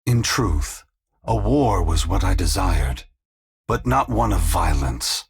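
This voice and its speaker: An actor playing a weary, compassionate god in a video game, speaking in a grave, measured, formal way with a gravelly, husky voice. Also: male voice, sits low, lively intonation, full character voice. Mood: grave, regretful. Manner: slow, measured, philosophical, formal. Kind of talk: video game voice acting, dramatic dialogue.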